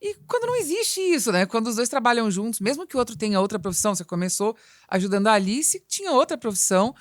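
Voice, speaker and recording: A woman's voice: a little high, big pitch swings, quick pace. A woman with a Brazilian Portuguese accent, interviewing guests conversationally as a podcast host.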